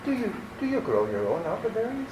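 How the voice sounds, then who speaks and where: Casting: male actor as polite, faltering elderly official for radio comedy, animated pitch, faint hiss behind. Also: quick pace, echoey room. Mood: hesitant, polite. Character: lonely, elderly, mild-mannered sanitarium official, hesitant and polite. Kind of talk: 1940s radio comedy acting.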